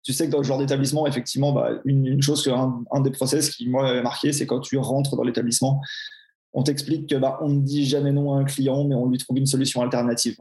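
A man's voice: medium pitch, level tone, fast, darkish tone, roomy sound. A man in his forties with a Swiss French accent, a personal trainer talking frankly and casually in an interview. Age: 40s. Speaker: personal trainer, podcast guest.

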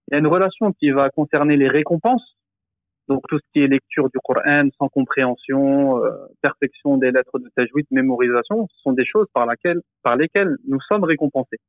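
A man's voice: mid-range voice, fairly quick, no room sound, phone-quality sound. Male religious teacher, hesitant explainer, dry close sound. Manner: explanatory, hesitant with frequent fillers and restarts. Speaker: Quran teacher and imam. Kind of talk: podcast discussion.

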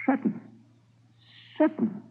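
A man's voice: slow, lightly acted. A man acting a folksy, hesitant shopkeeper in a 1950s radio drama, thinking aloud as he tries to help. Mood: recalling, emphatic. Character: male shopkeeper key cutter, folksy, hesitant, eager to help. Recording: tinny narrow-band old radio recording.

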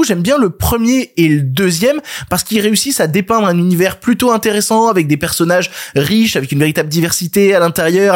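A man in his late twenties with a cold and a blocked nose, hosting a scripted film review podcast with sarcastic asides. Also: high-pitched. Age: late 20s. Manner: sarcastic, self-deprecating, quick asides. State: congested with a cold, stuffy nose. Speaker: podcast host, film critic.

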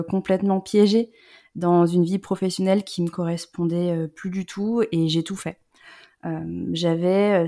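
A woman in her thirties from the Rhône-Alpes region, telling her personal story as an interview guest in a reflective way, often pausing with "euh". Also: on the low side, warm tone. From Rhône-Alpes, France. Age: 30s. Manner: reflective, many hesitant "euh" fillers.

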